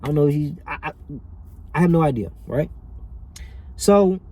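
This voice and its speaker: A man's voice: fairly high pitch, moderate pace, mellow. A man, telling a personal story casually and animatedly, as if to viewers. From rural Alabama, US. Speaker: vlogger telling personal stories.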